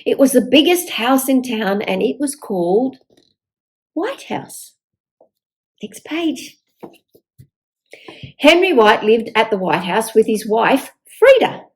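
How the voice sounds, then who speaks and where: High-pitched, animated woman reading a children's story. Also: takes it slowly, long pauses. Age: older. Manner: expressive, with exaggerated dialogue. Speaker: storyteller reading a picture book aloud. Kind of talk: children's story reading, addressed to young listeners.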